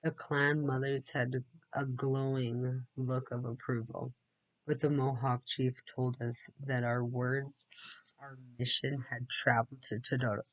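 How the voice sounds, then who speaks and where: A man's voice: mid-range voice, little pitch movement, a little slow, no echo, poor audio. A man reading a storybook aloud, sometimes dropping into casual asides. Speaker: read-aloud narrator.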